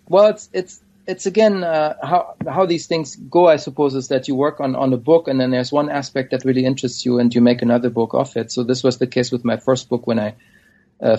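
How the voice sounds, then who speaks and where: A man, a scholar being interviewed, answering in a hesitant, thoughtful way with frequent pauses. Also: medium pitch, mellow. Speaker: scholar of Islamic studies, guest. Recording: dull lo-fi audio.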